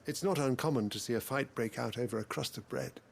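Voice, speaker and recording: Formal, courteous investigator. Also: male voice, medium pitch, brisk, vocal fry, slightly rough. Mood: grim reporting. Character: polite, dutiful male investigator, formal and worried. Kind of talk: video game voice acting.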